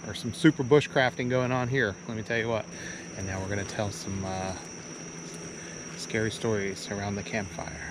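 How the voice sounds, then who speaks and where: A man talking casually and haltingly as he hosts an outdoor camping vlog, with a laid-back, folksy manner. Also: on the low side, fairly even intonation. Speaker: vlog host. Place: outdoors at a state park and campsite. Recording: noisy outdoor recording with insects.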